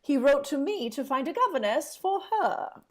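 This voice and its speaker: A woman's voice: high-pitched, animated, normal speed. A woman playing a kindly, genteel elderly housekeeper in a vintage-style radio drama, with a fussing, exclamatory manner. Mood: kindly, chatty. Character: kindly, chatty elderly housekeeper, slightly deaf.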